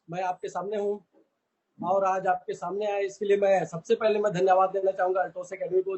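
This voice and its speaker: A man with a very high, husky voice gives an earnest product training talk. He speaks to his listeners as friends and comes back to the same phrases again and again. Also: muffled recording. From India.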